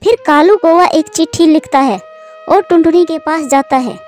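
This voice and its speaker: A woman's voice: very high voice, moderate pace, a little mellow, soft background music. A woman reading scripted narration for a children's story.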